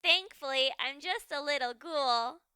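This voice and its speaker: A curious, cheerful little ghoul girl from a children's storybook, put on by a woman. Her youthful voice is high and very bright, full of exclamation. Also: a little slow, no room sound, studio quality. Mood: relieved.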